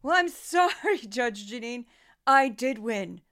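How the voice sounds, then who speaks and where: A woman's voice: a little high, expressive, slow pace, a bit bright, full character voice. A woman putting on a parody of a smug, self-deluded female politician hosting a party, emphatic and insistent, in a satirical radio play. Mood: defiant.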